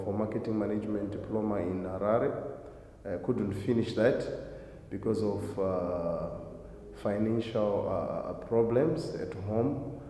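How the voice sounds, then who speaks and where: A man's voice: fairly low pitch, warm tone. A middle-aged man from Zimbabwe answering interview questions about his life, hesitant, with many fillers and restarts. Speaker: guest, driving school owner. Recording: echoey hall, faint noise.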